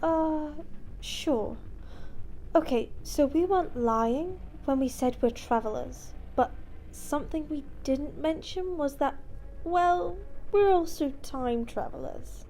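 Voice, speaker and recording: An actress playing a calm, supportive time traveller's companion in an audio drama, measured and a little hesitant. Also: female voice, high voice, a little hiss or hum, poor audio. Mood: hesitant explaining.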